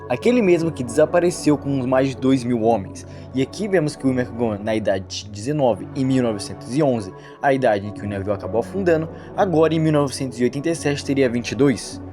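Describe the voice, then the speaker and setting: A man with a Brazilian accent narrating an informal storytelling recap with commentary, as the narrator of a horror series. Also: medium pitch. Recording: music behind the voice. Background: background music.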